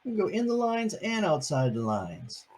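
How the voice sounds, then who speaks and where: A man's voice: sits high, very animated, moderate pace, a little mellow, slight background noise. An older man reading a picture book aloud to his grandchildren, adding chatty, playful asides between pages.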